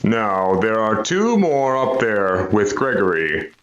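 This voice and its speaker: An actor playing a cruel, commanding vampire in a radio horror drama, with drawn-out, menacing, theatrical delivery. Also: male voice, lowish voice, big pitch swings, muffled recording. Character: sinister gloating male vampire, cruel and commanding.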